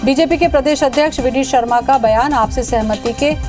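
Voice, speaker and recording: A woman's voice: sits high, moderate pace. A woman anchoring the news and moderating a live debate. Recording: music bed behind the voice.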